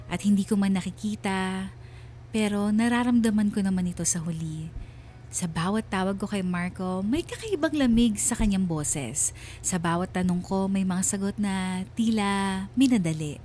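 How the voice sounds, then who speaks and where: An actress playing a troubled, pensive wife who narrates her letter in a radio drama, measured and sorrowful, with a Filipino accent. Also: mid-range voice, a little mellow, slight background noise, natural voice. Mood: wistful, troubled. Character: wistful, uneasy wife narrating her letter about family abroad. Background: music.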